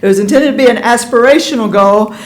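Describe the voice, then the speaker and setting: A woman's voice: a little high, quick pace, echoey room. Casual woman presenting slides. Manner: casual, filler-heavy. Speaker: utility staff filling in for a manager. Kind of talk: slide presentation at board meeting.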